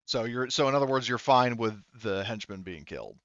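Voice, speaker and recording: A man's voice: medium pitch, animated, a little fast, fairly bright tone, no room sound, highs cut off. A man running a tabletop roleplaying game, narrating informally with some swearing and thinking aloud as he goes. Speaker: game master.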